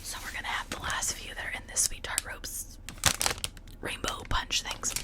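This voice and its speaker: A woman speaking in a breathy, unvoiced whisper, rambling casually and confidingly in short, halting phrases as an ASMR host.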